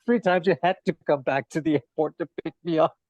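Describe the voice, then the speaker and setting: A man's voice: a little high, brisk, a little mellow, dry recording, highs cut off. A middle-aged man from Denmark, a native Danish speaker, chatting casually as a podcast guest in a rambling, self-interrupting way. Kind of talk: casual chat, travel anecdotes. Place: bar.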